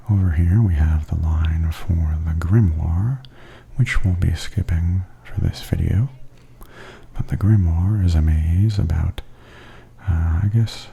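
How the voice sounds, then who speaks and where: A man giving softly spoken, gently wry ASMR commentary as a tour guide, in a hushed, breathy half-whisper. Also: low voice, a little hiss or hum. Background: theme park haunted attraction ambience.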